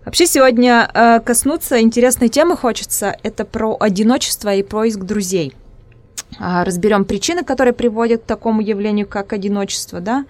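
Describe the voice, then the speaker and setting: A woman's voice: fairly high pitch, brisk, dry recording. Woman host with an Udmurt accent. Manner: hesitant, searching for words with many restarts. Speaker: podcast host. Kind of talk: casual podcast interview.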